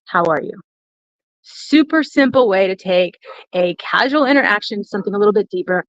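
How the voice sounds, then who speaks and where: Woman, live-stream host, emphatic coaching delivery, mid-pitched, dry close sound, slightly dull audio. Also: animated, a little fast, dry recording. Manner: emphatic, repeats phrases for stress, addresses listeners directly. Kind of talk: live-streamed motivational coaching talk to viewers.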